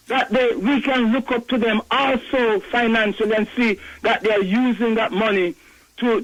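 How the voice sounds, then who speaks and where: Older man calling in, high voice. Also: poor audio. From Jamaica. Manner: earnest, measured, halting. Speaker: caller. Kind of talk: call-in comment. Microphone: telephone.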